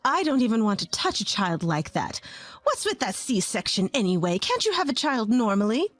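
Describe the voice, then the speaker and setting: A woman's voice: fairly high pitch, big pitch swings, normal speed, no room sound, faint music behind. A woman voicing a harsh, scornful older mother-in-law who scolds and sneers.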